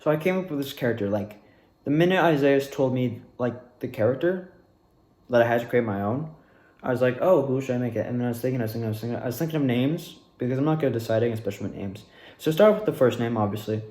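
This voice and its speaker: A young man telling a casual personal story in a rambling, animated way, repeating words for emphasis. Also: medium pitch, quick pace. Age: young adult. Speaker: student actor recounting past performances. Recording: echoey, reverberant room.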